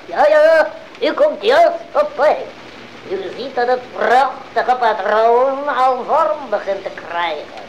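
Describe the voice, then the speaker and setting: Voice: medium pitch, lively intonation, slow, slight background noise, poor audio. An actor playing a sly, swindling weaver with an ingratiating manner in a Dutch audio fairy tale.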